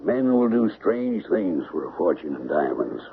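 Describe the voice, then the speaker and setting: A man playing a crafty, smooth-talking diamond merchant in a radio mystery, with measured, deliberate, courteous speech. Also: low voice, big pitch swings, exaggerated voice. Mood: smooth reassurance. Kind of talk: old-time radio mystery acting. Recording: muffled vintage radio broadcast, faint hiss.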